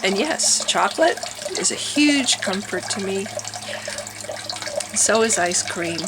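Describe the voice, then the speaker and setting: Vlogger: nasal-voiced middle-aged woman, candid rambling monologue, trickling water clearly behind. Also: mid-range voice, expressive, takes it slowly, noisy background. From Wisconsin, USA. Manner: rambling, candid, thinking aloud with many restarts. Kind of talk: personal monologue to camera. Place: her home with water fountains running.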